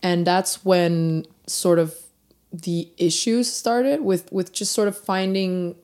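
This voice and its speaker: A woman's voice: fairly low pitch, fairly even intonation, slow pace, a little mellow. A young woman from Denmark, a rapper guest in a casual interview, chatty with many fillers and restarts.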